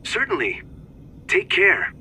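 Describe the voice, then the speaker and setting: An actor playing an earnest young male researcher, speaking in measured, formal phrases in a video game cutscene. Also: sits high, wildly swooping pitch, pushed and bright, slight background noise, heavily acted. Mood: polite. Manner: measured, precise, formal reading.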